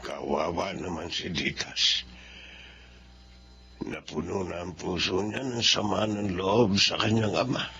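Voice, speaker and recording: Gravelly, slow, remorseful old man. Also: low-pitched, fairly even intonation, pushed and bright, slight background noise, exaggerated voice. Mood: sorrowful, guilty. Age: older. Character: remorseful elderly father, grandparent blaming himself. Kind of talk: dramatic inner monologue. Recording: muffled drama audio with music.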